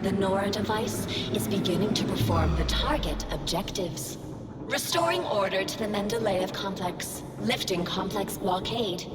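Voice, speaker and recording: A woman's voice: medium pitch, normal speed, very noisy. A woman voicing a seductive, defiant female artificial intelligence in a video game, speaking in a sultry, theatrical, taunting way. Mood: submissive, formal. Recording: echoey long reverb, loud music behind. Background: loud game music.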